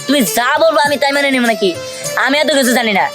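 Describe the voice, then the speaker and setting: A woman's voice: high voice, fast, close to an everyday voice. A woman voicing a bossy, hot-tempered young husband and devoted son in a cartoon, speaking in a demanding, colloquial way. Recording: loud music under voice.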